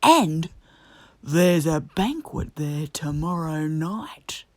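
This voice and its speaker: A woman voicing a grave but encouraging old male badger from a children's storybook, speaking slowly and emphatically. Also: low-pitched, big pitch swings, dry recording, full character voice. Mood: encouraging, secretive. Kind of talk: children's storybook character reading.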